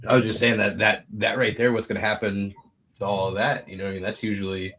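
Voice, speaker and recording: A man in casual, informal podcast conversation, quick to chuckle. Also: fairly low pitch, little pitch movement, fairly bright tone, slightly rough. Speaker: podcast panelist.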